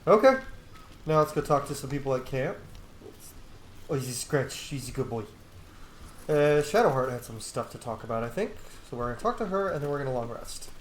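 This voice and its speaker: A man giving casual gameplay commentary, blunt, opinionated and quick to swear. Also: medium pitch, expressive, a little hiss or hum. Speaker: gaming streamer. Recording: roomy echo, game music behind. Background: game music.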